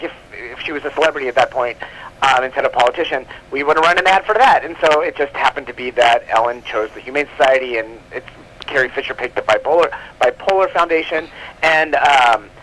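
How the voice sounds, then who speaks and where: A man's voice: on the high side, a little fast, sharp tone, slight background noise, low-quality recording. A man speaking as an interview guest in a casual, rambling and giggly way. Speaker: comic book publisher, interview guest.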